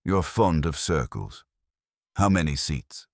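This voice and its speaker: Guy playing a wisecracking detective in a mystery story, deadpan, rly deep voice, dry sound. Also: takes it slowly, gravelly, no echo, exaggerated voice. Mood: deadpan, probing. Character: wry, wisecracking male private detective.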